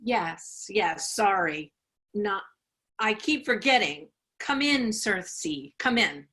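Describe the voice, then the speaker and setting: A woman's voice: mid-range voice, expressive, slow, pushed and bright. A woman playing a flustered, apologetic mother, hesitant and repeating herself, in a staged play reading. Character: flustered, apologetic widowed mother, absent-minded and welcoming.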